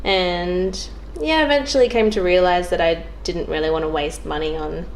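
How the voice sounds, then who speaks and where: A woman's voice: lowish voice, moderate pace, slight background noise, rough recording. A young woman chats casually as a podcast guest, thinking aloud in an informal, hesitant way. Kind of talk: casual chat.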